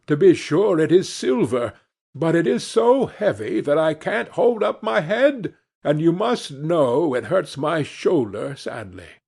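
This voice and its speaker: Naive, cheerful young servant for a storybook , played by a man: exclaiming, higher-pitched, lively swoops. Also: a little slow, creaky, slightly rough, exaggerated voice. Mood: complaining. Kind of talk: fairy tale storybook reading.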